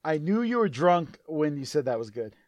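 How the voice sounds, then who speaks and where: A man chats loosely on his comedy podcast, poking fun at himself. His voice is fraying and hoarse, with frequent throat-clearing. He sits a little high in pitch, with lively swings, and the sound is tight and dry. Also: moderate pace, no room sound, dull audio. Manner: jokey, self-deprecating, tries silly cartoon voices. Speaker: podcast co-host. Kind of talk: casual comedic chat.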